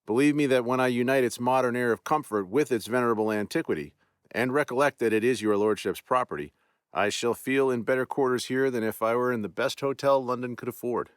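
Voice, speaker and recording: A man's voice: mid-range voice, brisk, smooth, dry recording, clean studio sound, pitched above the performer's own voice, close to an everyday voice. Man doing a formal old army general.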